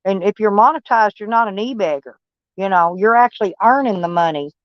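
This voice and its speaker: Live-stream host: a blunt, folksy Texas-accented woman, smooth voice, dull lo-fi audio. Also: medium pitch, brisk, dry recording. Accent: Texan. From Kentucky, USA. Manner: rambling, folksy, blunt and swearing. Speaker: livestream host. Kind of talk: casual live-stream chat with viewers.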